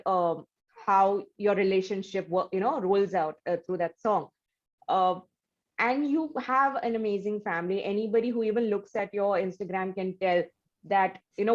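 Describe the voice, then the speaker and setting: Woman host, effusive questions, lots of fillers, slightly muffled audio. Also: medium pitch. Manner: warm, effusive praise with many fillers. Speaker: interviewer host. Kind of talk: interview questions.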